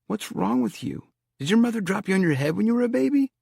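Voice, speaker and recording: A man's voice: sits high, lively intonation, fast talker, creaky, a little mellow, slightly rough, dry recording, clean studio sound, voice pushed up, heavily acted. A man voicing a sardonic, wisecracking young male college student worried about money. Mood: exasperated.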